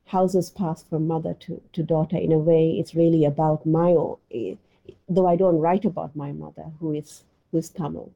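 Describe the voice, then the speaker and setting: A woman's voice: sits low, steady tone, moderate pace, vocal fry, dull audio. A middle-aged woman from Sri Lanka, an anthropologist answering interview questions with frequent restarts and fillers. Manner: hesitant, many restarts and fillers. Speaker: anthropologist guest.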